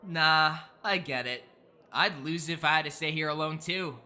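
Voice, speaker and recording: A performer playing an earnest, excitable and kind but anxious high-school boy in an audio drama, gushing in casual teen slang. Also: normal speed. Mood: playful, reassuring.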